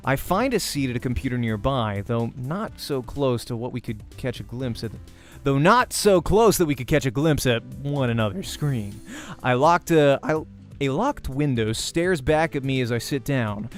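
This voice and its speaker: A man's voice: medium pitch, animated, moderate pace, faint music behind. A man reading a visual novel aloud as dramatic narration, sometimes stumbling over words and correcting himself. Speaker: let's play host and voice actor reading a visual novel.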